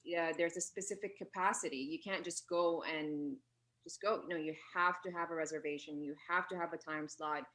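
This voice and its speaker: Low-voiced woman explaining earnestly. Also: fairly even intonation, moderate pace, a bit bright. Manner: earnest, with many fillers and self-corrections. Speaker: radio guest. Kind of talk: explanatory interview answers.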